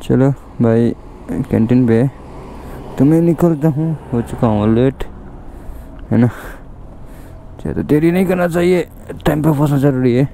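A man's voice: medium pitch, unhurried, pauses often, dark tone. Young man vlogging from a motorbike. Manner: chatty, talks to viewers while riding. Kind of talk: casual vlog narration while riding. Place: outdoors on a motorbike on a road at night. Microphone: helmet-mounted action camera. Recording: road and engine noise outdoors.

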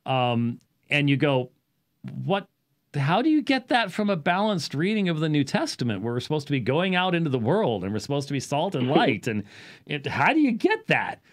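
A man gives an off-the-cuff explanatory talk as a show host, halting at times and leaning on key words. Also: a little high, very animated. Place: small indoor study with bookshelves. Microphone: studio microphone on boom arm, close.

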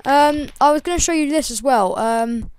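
A chatty young woman with a croaky voice talks through a game update, rambling and filling pauses with ums. Her voice sits fairly high, and the recording is dry and close. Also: darkish tone, no echo. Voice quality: slightly croaky. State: croaky, voice breaking. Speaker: gaming channel host. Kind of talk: casual game tutorial voice-over.

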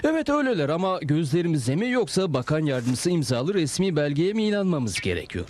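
A man's voice: fairly high pitch, very animated, fairly quick, darkish tone. A man voicing a pompous, officious prison warden in a cartoon dub. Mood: resigned, formal. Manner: officious, theatrical swoops. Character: pompous middle-aged male prison warden. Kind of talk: Turkish-dubbed cartoon acting.

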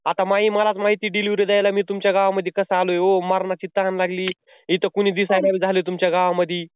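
Fast, insistent man on a tinny phone line. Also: high voice, little pitch movement, slight background noise. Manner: insistent, pleading, playful. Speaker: prank caller posing as a delivery man. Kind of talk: prank phone call. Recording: tinny phone call recording.

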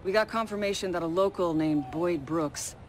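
An actress playing a serious, resolute special forces commander in a video game cutscene, with a clipped, authoritative delivery. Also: female voice, lowish voice, moderate pace, a little hiss or hum, close to an everyday voice. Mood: measured, firm. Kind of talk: cinematic video game dialogue. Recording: loud music under the voice.

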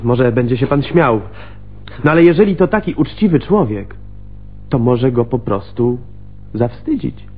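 A man's voice: medium pitch, very animated, measured pace, long pauses, husky, narrow, tinny audio. An actor playing a plain-spoken, deferential junior policeman in a Polish radio play. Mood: hesitant, puzzled. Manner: colloquial, deferential.

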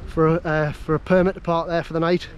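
A man's voice: a little high, level tone, fast. A man with a North East English Geordie accent chatting casually as he vlogs a camping trip outdoors. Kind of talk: casual outdoor vlog chat. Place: outdoors on windy open hills. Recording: wind-buffeted outdoor camera audio.

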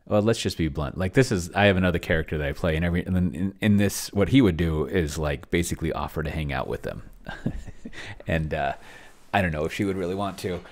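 A middle-aged man giving casual live commentary as he types and reads aloud, thinking out loud and trailing off, close on a desk microphone. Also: sits low, fast. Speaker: solo role-player and streamer demonstrating AI game mastering. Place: small indoor room. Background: computer keyboard typing.

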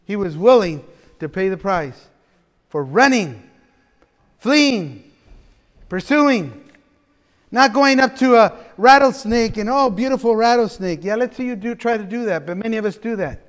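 A middle-aged man preaches from the pulpit of a large church. His voice is high for a man, and he talks in an animated, joking way. A long hall reverb trails every phrase. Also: a little slow, long pauses. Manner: animated, gesturing preacher with asides and playful humor. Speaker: pastor. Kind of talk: sermon.

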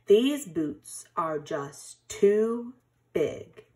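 A woman voicing a grumpy but soft-hearted male bear from a children's storybook, in a drawn-out, emphatic way. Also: lowish voice, lively intonation.

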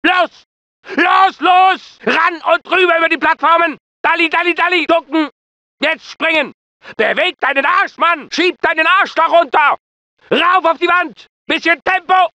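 A man's voice: very high voice, a little slow, bright, forceful tone, no echo, heavily acted. A man playing a commanding, insulting drill sergeant with clipped delivery in a German-dubbed video game. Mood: barking, urgent. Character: hard-barking, insulting military drill sergeant, adult man. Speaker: drill instructor.

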